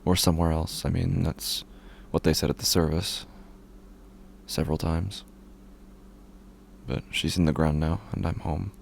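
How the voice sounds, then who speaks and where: Man acting a low, gravelly, subdued widower. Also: slight background noise. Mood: subdued, grieving. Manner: halting, flat, understated delivery. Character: bereaved widowed man living alone, subdued. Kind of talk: scripted horror fiction narration.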